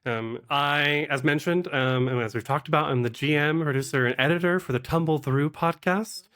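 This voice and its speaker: A man's voice: fairly high pitch, moderate pace, fairly bright tone. A man talking casually as a podcast guest, conversational, sometimes voicing imagined remarks as he explains. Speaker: podcast guest, actual play game master and producer. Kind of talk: casual interview chat giving advice.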